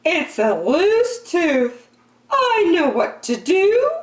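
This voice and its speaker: Mulher fazendo mãe aflita de voz aguda. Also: female voice, big pitch swings, extremely slow, echoey room, full character voice. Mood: fretting. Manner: high, fretting exclamations. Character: fussing mother of a small boy.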